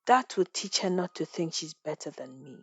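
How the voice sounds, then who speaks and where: A woman voicing a jealous, spiteful village woman in an animated folktale, muttering and gloating smugly. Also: sits low, moderate pace, slightly rough, no room sound, soft background music, voice pushed down.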